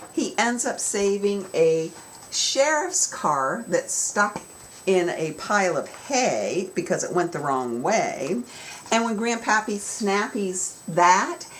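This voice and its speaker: A woman children's author giving an instructional talk to young students, explaining with emphasis in a storytelling way. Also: medium pitch. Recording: clear background noise.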